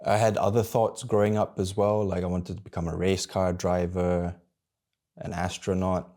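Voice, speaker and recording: A man in his thirties from Malaysia, talking candidly to camera about his own life, halting and searching for words as he goes. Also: deep voice, little pitch movement, mellow. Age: 30s. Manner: candid and introspective. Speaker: vlogger. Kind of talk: personal reflective monologue.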